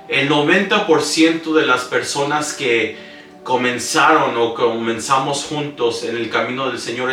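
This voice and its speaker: A man preaching a sermon in earnest, reading scripture aloud and pausing to correct himself, who mostly preaches in English these days. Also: mid-range voice. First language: English-dominant speaker preaching in Spanish. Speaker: pastor. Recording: roomy, distant mic with soft music. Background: soft instrumental music.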